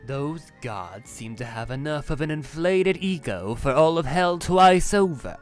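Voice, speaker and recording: A man playing a sly, taunting demon messenger in an animated roleplay, with a teasing, sarcastic drawl. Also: a little high, a little slow, loud music behind the voice. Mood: dryly taunting. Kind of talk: Minecraft roleplay voice acting.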